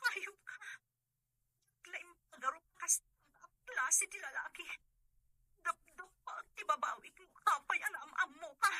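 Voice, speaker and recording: A woman's voice: very high voice, lively intonation, a little slow, pauses often, pushed and bright, heavily acted. A woman with an Ilocano Filipino accent playing a proud young woman who has been wronged and firmly, indignantly defends herself. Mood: hurt, defiant. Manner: indignant, firm. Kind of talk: radio-style comedy drama acting.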